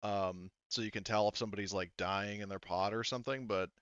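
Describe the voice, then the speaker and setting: A man speaking casually as a game master, haltingly explaining and planning the game as he goes. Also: fairly low pitch, steady tone, a bit bright, clear, resonant tone, dry recording. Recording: slightly dull, dry close mic.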